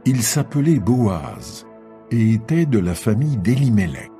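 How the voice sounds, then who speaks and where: A man's voice: fairly low pitch, big pitch swings, a little slow, mellow, soft background music. A man narrating a dramatised scripture reading as a scripted storyteller.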